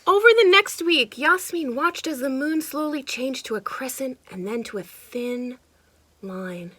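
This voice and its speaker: High-voiced woman reading to children. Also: a little slow, slight background noise. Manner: animated, addressing children directly, pointing things out. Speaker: children's storytime host and reader. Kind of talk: expressive picture-book read-aloud with asides to young listeners.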